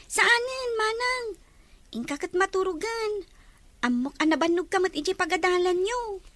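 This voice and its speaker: A woman's voice: very high-pitched, animated, normal speed, a bit bright, no room sound. A woman voicing a helpful, considerate young schoolgirl, a sweet little sister in a radio drama. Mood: caring. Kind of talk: Ilocano radio drama acting. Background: faint background music.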